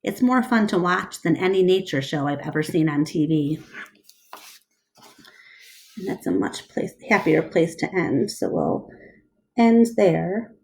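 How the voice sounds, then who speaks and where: A woman reading a children's book aloud in an expressive, deliberate storytelling style. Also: on the low side, creaky.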